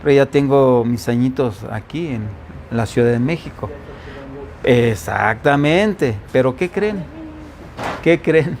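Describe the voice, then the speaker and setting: A man's voice: medium pitch, lively intonation, slow pace, darkish tone, slight background noise. A man from the Veracruz coast preaching as pastor in an informal Bible-study sermon, with a faint Veracruz accent, often asking the congregation questions. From Veracruz coast, Mexico.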